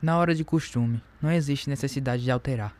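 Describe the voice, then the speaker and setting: A man's voice: medium pitch, fast talker, a little mellow, lightly acted. A man playing a proud, resentful newlywed husband in a radio drama, formal, curt and ironically polite. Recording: music bed behind the voice.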